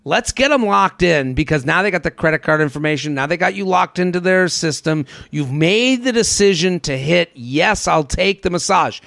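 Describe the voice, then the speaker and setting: A man's voice: fairly high pitch, lively intonation, moderate pace, vocal fry, hardly any pauses, fairly bright tone, no room sound, clean studio sound. A man hosting a podcast in a casual, comedic advice-giving style, riffing and repeating phrases. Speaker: podcast host. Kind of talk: casual comedic advice chat, sometimes reading listener emails.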